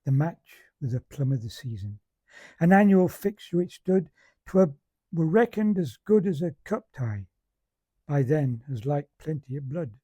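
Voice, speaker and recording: Dark, husky man reciting. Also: a little high, sing-song, unhurried, dry recording. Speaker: amateur monologue reciter. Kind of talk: recited comic verse monologue.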